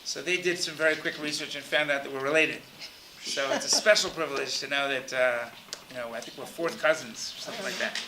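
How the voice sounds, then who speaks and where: A man's voice: mid-range voice, steady tone, a little fast, hardly any pauses, echoey room, crowd noise. A man giving an earnest, informal introduction to a guest speaker for students, with frequent fillers.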